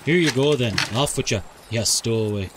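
A jovial old country carter, acted by a man in a fantasy audio drama. He teases with an amused, folksy lilt in a medium-pitched voice, with faint noise behind him. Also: normal speed, close to an everyday voice. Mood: amused, gruff. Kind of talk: audio drama character acting.

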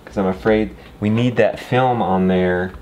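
A man's voice: lowish voice, steady tone, measured pace, darkish tone, slight background noise. A man in his thirties talking casually and encouragingly, a folksy father vlogging through farm chores. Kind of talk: casual vlog talk while doing farm chores.

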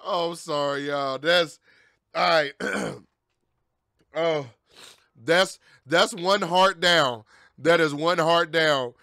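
A man in his thirties giving casual, slangy reaction commentary with animated banter and swearing, close on a studio mic. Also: fairly high pitch, drawn-out words, creaky, long pauses, no room sound. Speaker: reaction video host. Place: small home streaming room. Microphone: close studio mic on boom arm, headset.

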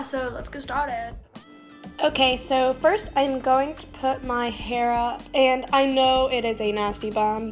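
A teenage girl with a slightly scratchy voice, chatting casually and rambling through a makeup tutorial in her bedroom, often trailing off into mumbles and self-mocking jokes. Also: high voice. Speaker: beauty vlogger. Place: small bedroom by a curtained window.

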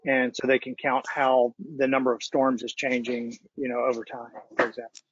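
Husky man on a dull call. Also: mid-range voice, completely flat delivery, normal speed. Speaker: panelist from a supercomputing research facility. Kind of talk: technical panel discussion, unscripted. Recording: dull, lo-fi online call audio.

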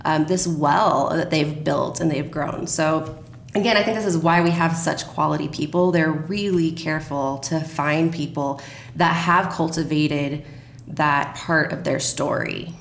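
A woman's voice: low-pitched, steady tone, a little slow, gravelly. A woman speaking as a guest in a conversational podcast interview about her work. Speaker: podcast guest, radio drama producer. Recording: roomy echo, faint noise.

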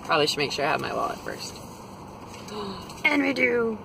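A woman's voice: medium pitch, very animated, normal speed, gravelly, background noise. A woman in her twenties chatting casually into her phone camera for a grocery haul vlog, rambling with lots of fillers. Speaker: vlogger. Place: parked car, then a home kitchen. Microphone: phone camera at arm's length.

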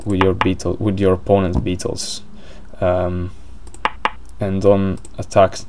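A man's voice: sits low, monotone, takes it slowly, background noise. A man with an Italian accent commentating a board game live, hesitant, with many fillers and restarts.

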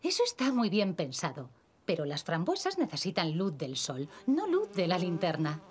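A woman's voice: lowish voice, huge pitch swings, moderate pace. A woman playing a patient, affectionate mother of young children in a Castilian Spanish cartoon dub. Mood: patient, reassuring.

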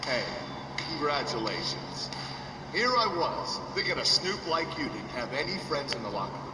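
A man's voice: on the high side, sing-song, normal speed, distant mic. An actor voicing a boastful, defensive male wrestler who trash-talks in slang, heard as a noisy, echoey game recording. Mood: mocking.

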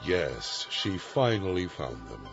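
A man's voice: deep voice, very animated, slow, gravelly, full character voice. An actor narrating an audio drama morality tale as a solemn male storyteller, with scripted dramatic delivery. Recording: muffled narration over music.

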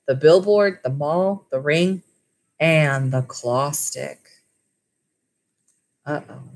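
Woman in her 30s, low voice, reading a kids book like a teacher on webcam. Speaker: school library teacher reading aloud to pupils. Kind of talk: storybook read-aloud and informal lesson for children. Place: small indoor room at home with wood-panelled walls. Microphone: webcam or laptop mic at arm's length.

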